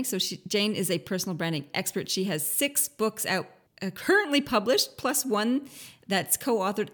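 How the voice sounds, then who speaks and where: A woman hosting a podcast, talking in a conversational, enthusiastic interview manner. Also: mid-range voice. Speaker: podcast host.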